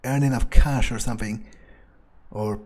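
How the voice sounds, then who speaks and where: A man's voice: mid-range voice, fairly even intonation, normal speed, mellow, lightly acted. A man playing a sardonic, grumbling vampire who thinks aloud in casual, sweary monologue for a game roleplay. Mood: disgruntled. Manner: grumbling, sarcastic, swears freely. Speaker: game player narrating in character.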